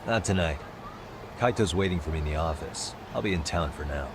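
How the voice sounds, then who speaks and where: An actor playing a determined detective who questions people in a firm, probing way, in a video game cutscene. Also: male voice, sits low, a little fast, background noise, lightly acted.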